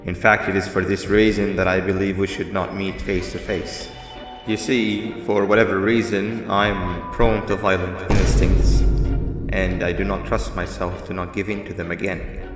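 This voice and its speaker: A man's voice: deep voice, flat delivery, moderate pace, close to an everyday voice. A man playing a polite, apologetic mascot monster with violent instincts in a video game, explaining things courteously and a little hesitantly. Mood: apologetic, uneasy. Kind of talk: video game character dialogue. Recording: echoey reverb, music behind.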